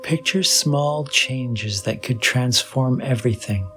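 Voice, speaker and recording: A man guides a meditation at a very slow pace, leaving long pauses between phrases. His delivery is soothing and measured, in a mid-range pitch. Clear meditation music plays behind his voice. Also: bright, forceful tone. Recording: voice over background music with singing bowl.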